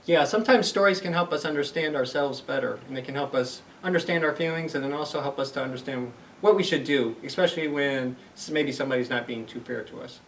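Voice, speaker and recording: A man reading a storybook aloud to children in an animated way, pausing to explain simply and ask questions. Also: medium pitch, recorded from a distance, a little hiss or hum. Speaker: library story time reader.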